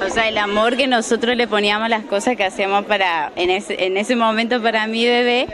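A woman's voice: medium pitch, fairly even intonation, brisk, crowd noise. Young woman selling baby goods. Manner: warm, explains with fillers. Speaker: craft vendor. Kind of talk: casual interview. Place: large indoor fair hall. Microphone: handheld mic. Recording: background noise.

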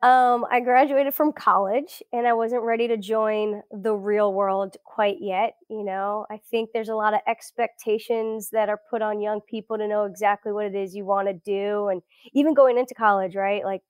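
A woman's voice: mid-range voice, steady tone, moderate pace, smooth, dry recording. A woman in her thirties from South Florida, chatting as a guest in a casual interview, with many fillers and restarts. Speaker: guest researcher.

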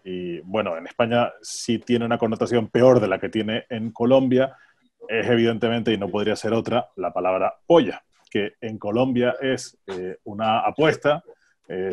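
Low-pitched man with a Spanish accent from Spain. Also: darkish tone. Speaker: radio journalist. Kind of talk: conversational panel discussion. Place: home study, on a video call. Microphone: earphone headset on a video call.

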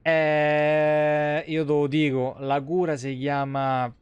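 A man's voice: on the high side, flat delivery, takes it slowly, clean tone, dry recording, muffled recording. A man giving colloquial, rambling game commentary into a close microphone. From Italy. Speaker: live game streamer. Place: small indoor streaming room. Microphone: close desk microphone.

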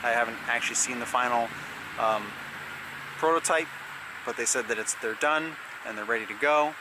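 A relaxed man in his thirties talks straight into a camera held close to his face, out in a wooded park. His voice sits at an ordinary pitch, and plain outdoor ambience carries clearly behind him. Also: normal speed, background noise. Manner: relaxed, conversational. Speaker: event organizer. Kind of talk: casual vlog announcement. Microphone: handheld camera close to the face.

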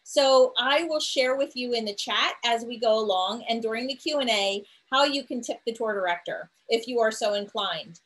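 A woman's voice: on the high side, moderate pace, hardly any pauses, fairly bright tone, rough recording. A middle-aged woman introducing a virtual tour over a video call, chatty with occasional fillers. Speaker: host, tour organizer. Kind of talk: webinar introduction. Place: home room.

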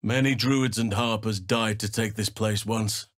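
A man voicing a wise, gentle-hearted druid healer in a video game, speaking in measured, formal, archaic phrasing. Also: fairly low pitch, steady tone, dry recording, clean studio sound, lightly acted. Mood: solemn, measured.

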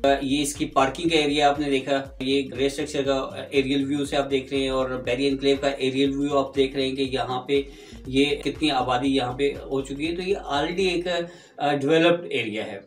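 A middle-aged man with a Pakistani Urdu accent, pitching a property project to camera in an explanatory way, filling pauses with "uh". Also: reverberant, far from the mic. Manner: explanatory, frequent "uh" fillers. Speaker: real estate agent. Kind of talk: property promotion talk to camera. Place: indoor room before green screen. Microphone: clip-on lapel mic.

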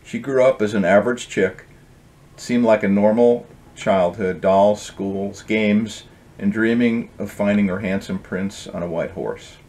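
A man's voice: lowish voice, fairly even intonation, a little slow, a little mellow. A middle-aged man reading from his own book as its author, in a storybook style with casual introductions. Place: indoor home office.

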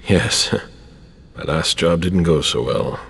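An actor voicing a guarded, curt and composed vampire agent in a video game, with a low, gravelly, husky voice. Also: slow, a bit bright, heavily acted. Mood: guarded, probing.

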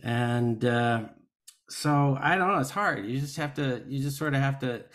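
A man with a mid-pitched voice talks over a video call in a panel discussion. He is thoughtful and halting, working out his point as he goes. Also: fairly bright tone. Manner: thoughtful, halting, reasoning aloud. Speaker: actor. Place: indoors at home on a video call. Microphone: video call microphone.

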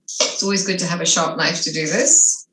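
A woman's voice: on the low side, steady tone, moderate pace. A middle-aged woman with a mixed French and English accent, chatting enthusiastically through a cooking demonstration in her home kitchen on a video call. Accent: French and English mix. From half French, half English. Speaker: cooking instructor. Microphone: video call webcam. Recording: echoey kitchen with faint noise.

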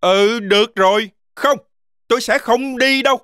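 A woman's voice: on the high side, a little slow, fairly bright tone, dry recording, studio quality. A woman voicing a timid, distrustful little boy goat in a children's cartoon, anxious and indignant.

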